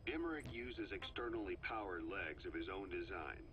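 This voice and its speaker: An actor playing a middle-aged intelligence operative in a game's cassette tape, briefing in a measured, matter-of-fact way. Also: male voice, low-pitched, animated, muffled recording, noisy background. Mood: dry, measured.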